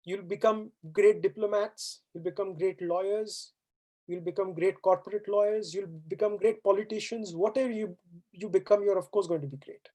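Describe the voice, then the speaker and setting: A woman from India, a native Hindi speaker, delivers an earnest, exhorting valedictory address at a university. She drives her points home with rhetorical questions and repeated phrases, pitched in a middle register. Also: fairly even intonation, normal speed, dark tone. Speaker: guest speaker. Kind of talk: formal valedictory speech. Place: university auditorium.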